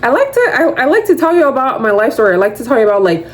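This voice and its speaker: A woman in her twenties with a loud voice, hosting a podcast in casual, rambling solo chat, full of "like" and animated asides. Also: on the high side, rapid-fire. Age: 20s. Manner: chatty, rambling, heavy use of "like"; animated, slips into quoting others. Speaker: podcast host.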